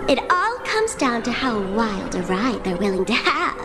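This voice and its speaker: A woman's voice: on the high side, big pitch swings, moderate pace, over loud music. A woman playing a young, cheeky female prankster ringleader in a video game, sassy, teasing and theatrical. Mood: gleeful, rousing. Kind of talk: animated video game acting.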